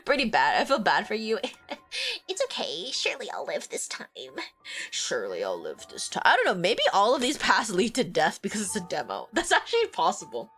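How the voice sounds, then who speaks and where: A young woman streaming a romance game, congested from a cold, reacting excitedly between stretches of reading the game text aloud. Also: medium pitch, a little fast, slightly rough, soft background music. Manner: excitable, swearing, swings between loud outbursts and quiet reading. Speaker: streamer and vtuber.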